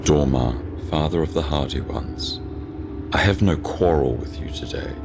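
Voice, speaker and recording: A man playing a courteous, grave god of death with a gravelly voice in a fantasy audio drama. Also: very deep voice, a little slow, noisy background, heavily acted. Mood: grave, measured. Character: courteous, frail, wounded winged male god of death.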